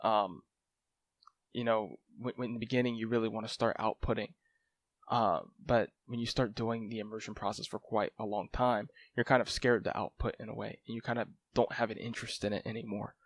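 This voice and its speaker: A man's voice: fairly low pitch, fairly even intonation, brisk. Rambling young man vlogging casually. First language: English. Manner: rambling, lots of "like" and "um" fillers. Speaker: language-learning vlogger. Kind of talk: casual talking-head update.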